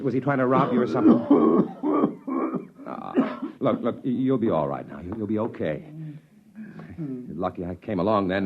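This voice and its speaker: A man's voice: medium pitch, natural voice. A middle-aged man acting as a hot-headed, slangy newspaperman and family man narrating his story in a 1950s radio drama. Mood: concerned. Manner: hard-boiled, slangy. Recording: dull lo-fi 1950s radio, musical underscore.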